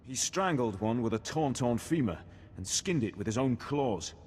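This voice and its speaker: An actor playing a grave, wry Imperial captain in a video game, speaking formally and in measured phrases. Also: male voice, mid-range voice, animated, a little mellow, long reverb tail, slight background noise, close to an everyday voice. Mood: grim, resolute.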